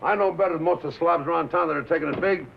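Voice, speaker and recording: A man's voice: a little high, racing, gravelly, a little hiss or hum. A man playing a brash, defensive American bandleader in 1950s film drama style, loyal and slangy, with punchy wise-guy talk. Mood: cocky. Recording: muffled vintage film soundtrack.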